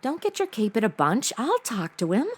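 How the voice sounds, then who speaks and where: A woman's voice: on the high side, big pitch swings, normal speed, husky. A woman playing a sassy, irreverent modern young woman in a comedic audio drama, slangy and full of wry delivery. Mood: feisty, indignant.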